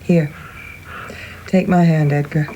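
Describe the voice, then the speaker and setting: A genteel, possessive older mother in a radio drama. Her voice is dark, mellow and fairly low, with formal maternal manners, slightly muffled over faint background noise. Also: fairly even intonation, slow. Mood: genteel, concerned. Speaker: actor in a radio play. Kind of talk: dramatic radio play acting.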